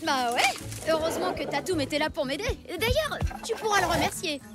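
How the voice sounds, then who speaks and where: A loyal cartoon boy with a very high, youthful voice. He talks earnestly and expressively, with music running behind him. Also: a little fast. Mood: indignant. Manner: earnest, expressive, indignant when wronged. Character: earnest, loyal young prehistoric boy, dinosaur's best friend. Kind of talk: cartoon voice acting, French dub.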